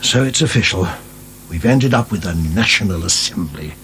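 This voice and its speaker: Stressed grumpy prison governor, radio play, fretful blustering, creaky and very up n down. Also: male voice, low voice, expressive, normal speed, fairly bright tone, poor audio. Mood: uneasy. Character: anxious, irritable prison governor, easily exasperated. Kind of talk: radio drama acting. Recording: hissy archived radio drama.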